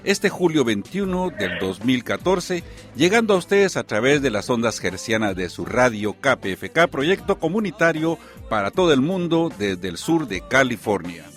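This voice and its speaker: A man hosting a community radio program, his voice sitting at an ordinary middle pitch. He talks in an earnest, announcer-like manner and often pauses on "eh" fillers. Faint music plays quietly behind him. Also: sing-song.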